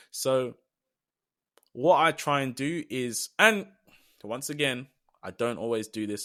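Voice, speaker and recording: Young British man, podcast co-host, earnest and reflective, mid-pitched, given to long trailing sentences. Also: bright, forceful tone. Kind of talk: casual podcast chat.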